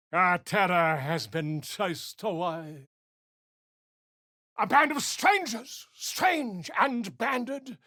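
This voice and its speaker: A man's voice: extremely high pitch, sharp tone, no echo, clean studio sound, full character voice. An actor playing an eccentric, pompous male bard and scholar in a video game, speaking in flowery, declamatory phrases. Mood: declaiming.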